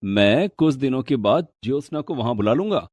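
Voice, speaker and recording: An actor playing a young married office worker, casual and brisk, in an animated moral story. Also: male voice, medium pitch, no echo, close to an everyday voice. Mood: explaining.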